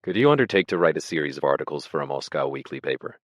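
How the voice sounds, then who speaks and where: A man voicing a fussy, unshaven Russian organizer who talks in a brisk, scolding way. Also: rumbling low, animated, dry recording, clean studio sound. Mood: brisk, businesslike.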